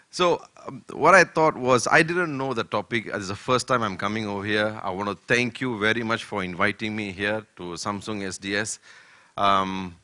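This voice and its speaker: An older man from Malaysia giving a conference keynote talk, speaking slowly and deliberately with many fillers. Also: on the low side, sharp tone, no room sound. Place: conference hall.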